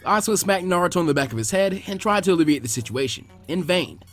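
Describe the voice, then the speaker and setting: Animated, brisk male story narrator. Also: sits high, soft background music. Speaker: narrator of fan fiction readings. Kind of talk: expressive scripted narration.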